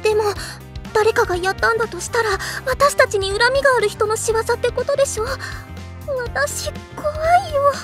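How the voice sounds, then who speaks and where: A woman voicing a timid, worrying high school girl in an anime, fretful and exasperated. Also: normal speed, a bit bright, faint music behind. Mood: worried. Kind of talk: Japanese anime voice acting.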